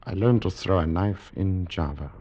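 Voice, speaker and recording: A deep, gravelly man on a dull old radio recording. He is a cold, proud figure who speaks in clipped, formal phrases with quiet menace, in the manner of 1940s radio drama. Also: normal speed, a little hiss or hum. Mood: coolly boastful. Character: cold, menacing, proud expatriate husband in the tropics.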